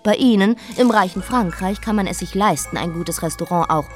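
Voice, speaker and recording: A woman's voice: medium pitch, fairly quick, soft background music, close to an everyday voice. An actress in a radio play as a headstrong queen, provocative and dryly ironic. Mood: mocking. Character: sardonic, headstrong young northern queen.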